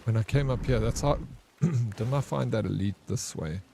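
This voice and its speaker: A young man casually commenting on his gameplay as a streamer, with a flat, monotone delivery. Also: mid-range voice, normal speed, creaky, a little hiss or hum.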